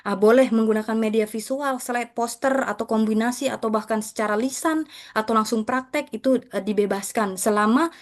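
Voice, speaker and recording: A woman's voice: fairly high pitch, fairly quick. A woman speaking as a teacher, explaining material to fellow educators in a conversational, respectful presentation style. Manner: conversational, repeatedly addressing listeners with respectful terms.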